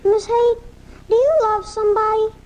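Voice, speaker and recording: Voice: very high-pitched, fairly even intonation, normal speed, a little mellow. An actor voicing a sickly, lonely, eager schoolboy in a 1980s live radio drama, on a home recording taken off the air. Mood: earnest. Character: sickly, lonely, eager schoolboy who adores his dog.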